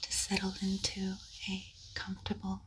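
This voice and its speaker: A woman whispering a guided meditation in a slow, soothing voice, leaving long pauses between phrases. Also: medium pitch, monotone, fairly bright tone, a little hiss or hum. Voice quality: unvoiced whisper.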